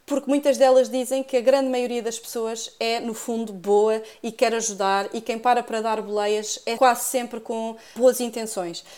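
A woman's voice: a little high, little pitch movement, normal speed, hardly any pauses, a little mellow. A woman with a European Portuguese accent, co-hosting a podcast and summarizing stories in an explanatory way. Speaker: podcast co-host. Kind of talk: scripted podcast narration.

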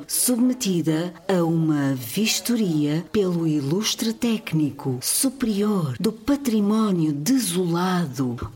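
A woman's voice: sits low, dark tone, breathy edge, exaggerated voice. A woman playing a pompous hostess making formal ceremonial announcements in a radio soap opera. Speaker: master of ceremonies.

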